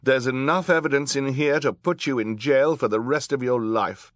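A man's voice: medium pitch, moderate pace, fairly bright tone, dry recording, clean studio sound, voice pushed up, natural voice. A man voicing an earnest, distressed woman judge, indignant and pleading, in a storybook reading.

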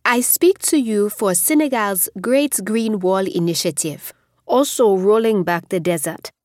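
A woman representative announcing her country's projects in a measured, formal scripted reading. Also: medium pitch, darkish tone, no room sound, studio quality. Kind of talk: scripted roll-call reading.

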